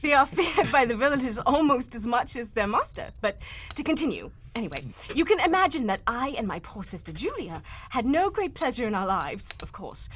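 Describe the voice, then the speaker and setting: Woman acting a troubled gentlewoman, animated and high. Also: fairly quick, a little hiss or hum. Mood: grave, troubled. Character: anxious young English gentlewoman recounting family tragedy. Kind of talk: live old-time radio drama acting, read cold.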